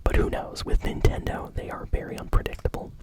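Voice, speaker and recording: Soft whispered ASMR gaming news host. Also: a little fast. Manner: casual, conversational, rambling.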